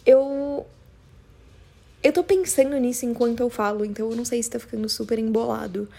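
A woman's voice: a little high, fairly even intonation, a little fast, gravelly, warm tone. A young woman with a strong São Paulo Brazilian accent, chatting casually and confessionally in a rambling, slangy, emphatic way. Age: young adult. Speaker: podcast host.

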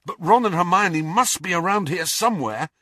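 Man doing a young wizard hero, audiobook, urgent n earnest, voice swings a lot, bit husky. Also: high-pitched, expressive, normal speed, pushed and bright, dry recording, studio quality, pitched above the performer's own voice, exaggerated voice. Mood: hurried, resolute. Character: determined young male wizard hero, urgent, quick-thinking.

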